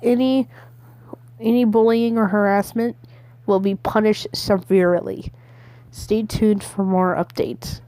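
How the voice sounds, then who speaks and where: A woman's voice: medium pitch, steady tone, mellow, no echo, close to an everyday voice. A woman playing a stammering, frightened weather service spokesperson in an amateur emergency broadcast roleplay. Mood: solemn, official. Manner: stammering, halting. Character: shivering, terrified National Weather Service spokesperson, pleading.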